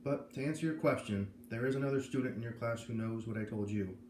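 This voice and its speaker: A man's voice: mid-range voice, fast talker, darkish tone, natural voice. A man voicing a grave, kindly doctor who explains things with care, in a read-aloud of a children's novel. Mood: gently reassuring. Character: grave, kindly male doctor with greying hair. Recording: roomy echo, noticeable background noise.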